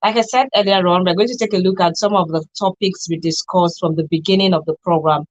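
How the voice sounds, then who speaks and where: A woman's voice: fairly low pitch, fairly even intonation, quick pace, no room sound, dull audio. A woman with a Nigerian accent hosting a live talk radio show from a studio.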